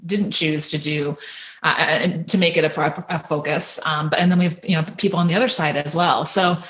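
A woman's voice: sits low, fairly even intonation, brisk, a bit bright. A woman hosting an interview, talking in a rambling way with many restarts and fillers. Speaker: podcast host interviewing women. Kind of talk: conversational interview.

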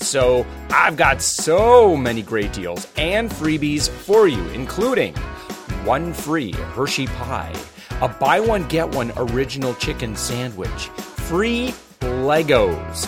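A male talk show host with a cold, giving upbeat, energetic tips on deals and savings. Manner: upbeat, energetic pitchman delivery. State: has a cold. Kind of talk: radio-style talk show.

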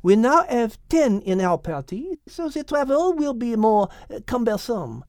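A man voicing a poised, clever female French cat with measured, polite speech, in an audiobook. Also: very high-pitched, big pitch swings, darkish tone, no echo, clean studio sound, pitched above the performer's own voice, heavily acted. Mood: diplomatic, instructing. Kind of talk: audiobook character acting.

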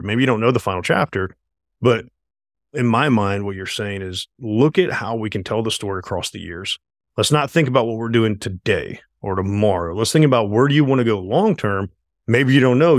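A man's voice: on the low side, dry recording, studio quality. A man hosting his podcast, talking enthusiastically and rambling, often tagging sentences with "right?". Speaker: podcast host. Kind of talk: casual podcast interview.

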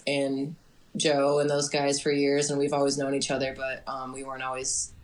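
A woman's voice: rumbling low, monotone, moderate pace, rough recording. A woman, a musician guest, talking casually in a hesitant, giggly way. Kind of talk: casual interview chat.

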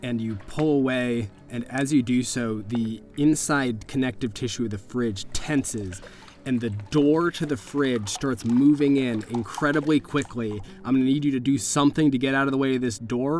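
A man's voice: medium pitch, moderate pace, darkish tone. Male game master, mid-range voice, improvising spooky scenes in casual, slangy narration. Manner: conversational, slangy storytelling.

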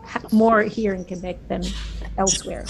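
A woman's voice: mid-range voice, steady tone, moderate pace, a little hiss or hum. A mature woman from Manitoba, Canada, chatting casually as a participant in a group call. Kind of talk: casual chat.

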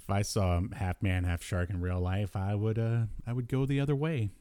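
A man hosting a podcast, chatting casually about old cartoons in a halting, hesitant way. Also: lowish voice, steady tone, warm tone, clean tone. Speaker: podcast host.

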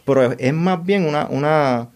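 A man's voice: a little high, moderate pace. A young man from Puerto Rico, a tech consultant guest, talking casually in an interview with frequent restarts and repeated words.

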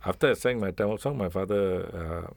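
A Singaporean man with a lowish voice and plenty of gravelly fry reminisces in a casual interview. He speaks like a seasoned musician telling anecdotes, acting out bits of remembered talk. The sound is dry, with little room on it. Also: brisk, a little mellow, no room sound.

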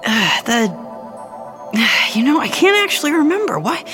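A man's voice: very high-pitched, sing-song, unhurried, over music, exaggerated voice. A young man playing a naive, earnest fairytale prince in an audio drama, flustered and prone to stammering. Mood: dazed wonder.

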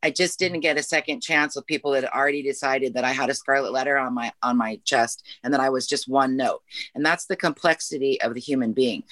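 A man's voice: medium pitch, a bit bright, dry recording. Discursive man in a very dry recording. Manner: wordy, discursive, piling up repetitions and asides. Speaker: podcast participant. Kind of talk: reflective conversational monologue.

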